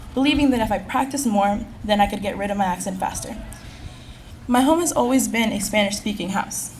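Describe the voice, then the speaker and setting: A teenage girl from California reads her senior speech with an earnest, steady conviction. She moves through it at a brisk clip. A faint hum of room noise sits behind her voice. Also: mid-range voice. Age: teens. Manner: earnest, reading from a script at a quick clip. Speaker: graduating high school senior giving a speech. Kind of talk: prepared speech. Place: school event.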